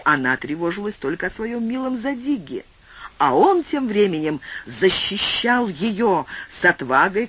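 A male audiobook narrator reads a classic tale with an expressive, swooping delivery. His voice sits high for a man, and his pitch rises and falls in wide, animated arcs. He shifts his pace freely as he tells the story. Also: slight background noise. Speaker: audiobook reader.

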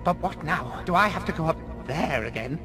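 Guy doing nervous fussy rabbit, high jumpy voice, loud music behind. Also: lively intonation, close to an everyday voice. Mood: worried. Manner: fretful, hurried, fussy. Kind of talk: game cutscene voice acting.

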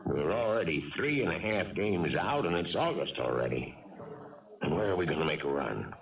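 An actor playing a gruff, fatherly older baseball manager in a 1970s radio drama, blunt, slangy and wisecracking, with a gravelly voice. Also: low voice, expressive, moderate pace. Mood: exasperated. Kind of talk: 1970s radio drama acting and first-person narration. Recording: muffled 1970s radio, distant, crowd behind.

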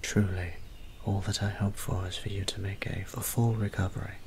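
A man playing a gentle, doting young vampire priest in an ASMR roleplay, speaking softly and half-whispering in polite, old-fashioned phrases. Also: deep voice, moderate pace. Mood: tender, doting. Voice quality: soft, breathy, half-whispered. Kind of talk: soft-spoken ASMR roleplay. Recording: steady background ambience noise.

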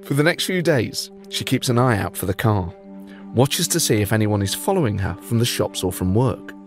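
A man's voice: fairly low pitch, animated, moderate pace, faint music behind. A man narrating a true-crime story as podcast host, with dry, wry asides. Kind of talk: scripted storytelling narration with banter.

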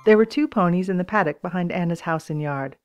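A woman narrating a children's storybook audiobook in an expressive, acted-out reading style. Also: lowish voice, fast talker, music in the background. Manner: expressive, acts out dialogue in raised voices.

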